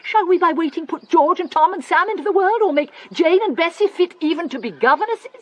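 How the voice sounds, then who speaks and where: A poor English clergyman's wife, fierce and full of feeling. Her high voice swoops dramatically in the manner of a period radio play. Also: animated, brisk, no echo, muffled recording. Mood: bitter, determined. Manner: forceful, emotional, scornful.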